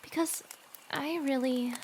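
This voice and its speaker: A woman's voice: sits high, fairly even intonation, slow, a little hiss or hum. A woman playing a sensitive, lovelorn teenage schoolgirl, earnest and girlish, in a fan audio drama. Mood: wistful, frustrated.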